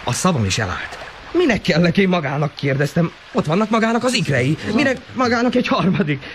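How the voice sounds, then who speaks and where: A male actor playing an excitable, cheeky teenage boy in a Hungarian radio drama, eager and dramatic in his telling. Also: high-pitched. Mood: secretive, excited. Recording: noisy 1980 radio play recording.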